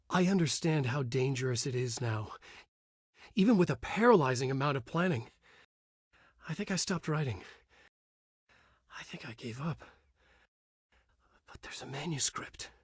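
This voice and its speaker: A man's voice: medium pitch, slightly rough, no room sound, studio quality. A middle-aged man narrating a video game as a brooding writer, in a noir-like inner monologue. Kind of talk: hard-boiled game narration.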